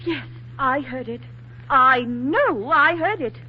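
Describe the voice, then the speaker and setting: A woman's voice: high-pitched, expressive, slow. An actress playing a flighty, bossy society lady in a 1940s radio drama, dithering, exclaiming and repeating herself. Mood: startled, dithering. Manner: fluttery, repetitive, dithering and exclaiming. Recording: muffled vintage 1940s radio recording, music behind.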